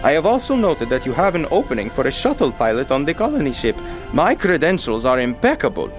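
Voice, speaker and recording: A man's voice: mid-range voice, sing-song, fast, soft background music, close to an everyday voice. A man playing a devoted, gallant and protective father and pilot in an audio drama.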